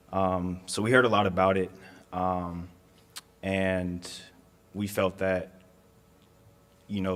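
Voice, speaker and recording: A man with a deep, low voice answers questions at a community meeting in a school hall. He speaks in a measured way, often pausing on "um". The sound trails off in a long hall reverb. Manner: measured, with frequent ums. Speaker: county staff member answering residents. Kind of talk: public meeting Q&A.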